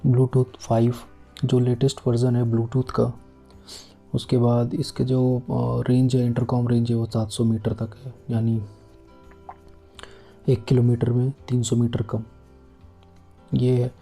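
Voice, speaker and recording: A man giving casual unboxing commentary as a product vlogger, speaking haltingly in short broken phrases. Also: mid-range voice, completely flat delivery, gravelly, mellow. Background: background music.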